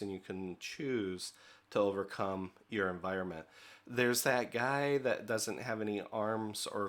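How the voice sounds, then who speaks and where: Middle-aged American man, lower register, leadership trainer, chatty and informal, lots of fillers. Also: a bit bright. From United States. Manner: conversational, many fillers, asks "okay?". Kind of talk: recorded training lecture.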